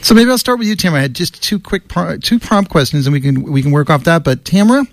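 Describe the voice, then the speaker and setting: A man's voice: fairly high pitch, expressive, fast talker, a little hiss or hum. A man hosting a radio interview in a studio, stumbling and restarting as he introduces his guests. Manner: stumbling over names, warm welcomes. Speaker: radio host.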